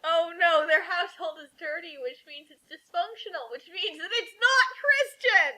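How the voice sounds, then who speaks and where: Very high, bright woman commentator. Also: normal speed. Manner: sarcastic, exaggerated reactions. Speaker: co-host and commentator. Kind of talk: casual comic commentary.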